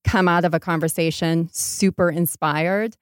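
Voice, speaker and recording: A woman's voice: lowish voice, fairly even intonation, moderate pace, smooth, no room sound, clean studio sound. An American woman giving reflective, conversational interview answers, making her points with emphasis. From Maine, United States. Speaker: podcast guest, startup entrepreneur.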